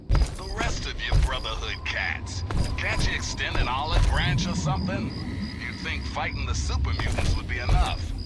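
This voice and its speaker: A man's voice: a little high, heavy background noise. A man playing a brash, wisecracking post-apocalyptic radio DJ in a video game, with showy, sardonic, folksy patter.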